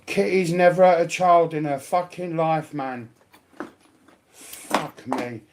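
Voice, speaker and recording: A man's voice: a little high, slow. Blunt, indignant man arguing off the cuff. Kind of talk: unscripted, informal argument.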